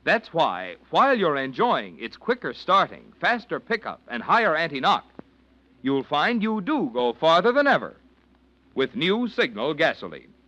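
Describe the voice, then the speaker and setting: A man with an American accent as a radio announcer, delivering a polished, emphatic 1940s sponsor pitch. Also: very animated, moderate pace, pushed and bright, dry recording. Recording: dull old 1940s radio recording.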